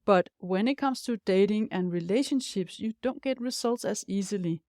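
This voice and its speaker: A woman's voice: mid-range voice, normal speed, a little mellow, no room sound, studio quality. Danish-accented woman, upbeat podcast host. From Denmark. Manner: upbeat, encouraging, direct address to listener. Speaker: podcast host, life coach. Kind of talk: scripted podcast monologue.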